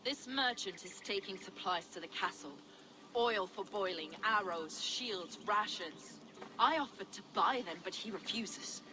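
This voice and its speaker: An actress playing a learned, zealous medieval mystic, measured and preacherly, in a dramatic video game. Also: female voice, medium pitch, expressive, background noise, close to an everyday voice. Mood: urgent.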